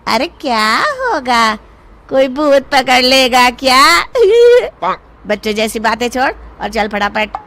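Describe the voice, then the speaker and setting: Woman as high-pitched, greedy, cowardly young man. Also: slow, a little hiss or hum, heavily acted. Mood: wheedling, scoffing. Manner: excitable, wheedling, pleading. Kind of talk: cartoon story dialogue.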